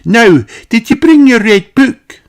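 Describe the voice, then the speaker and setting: A man's voice: very high-pitched, very animated, slow pace, soft background music, voice pushed up, heavily acted. A man voicing a cheery, encouraging female polar bear doctor in a children's storybook. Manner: bright, encouraging, explaining gently to a child. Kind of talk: children's storybook read-aloud.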